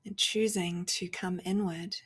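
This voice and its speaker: A woman leading a guided spiritual meditation, speaking softly and reflectively in short phrases with long pauses, often fading almost to a whisper. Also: fairly low pitch, fairly even intonation, breathy edge.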